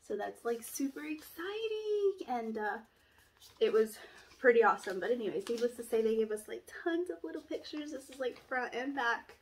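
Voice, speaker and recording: A woman's voice: fairly high pitch, animated, moderate pace, slight background noise. Stuffy-nosed young woman vlogging casually. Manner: chatty, rambling, many "like" fillers. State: stuffy nose from allergies. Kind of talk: casual pregnancy update vlog. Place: small room at home, indoors. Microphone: camera in front, framed at chest.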